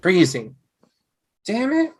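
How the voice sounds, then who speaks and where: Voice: mid-range voice, huge pitch swings, takes it slowly, slightly rough, full character voice. Someone playing a skeptical, frustrated young husband in a conversational table read over a video call. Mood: reluctant.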